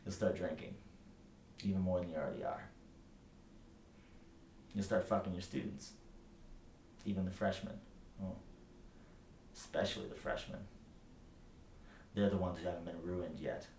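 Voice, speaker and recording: An actor playing a bitter, brutally frank writing teacher in a theatrical monologue, speaking with scorn, emphasis and profanity. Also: male voice, low-pitched, moderate pace, creaky, long pauses, a little mellow. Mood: bitter, quiet. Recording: roomy echo, light background noise.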